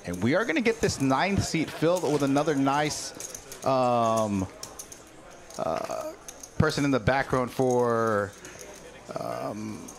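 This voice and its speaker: A man's voice: medium pitch, lively intonation, slow, gravelly, long pauses, a little hiss or hum. A man producing and co-commenting on a live poker stream, talking in a rambling, chatty way and addressing viewers in chat from a production booth.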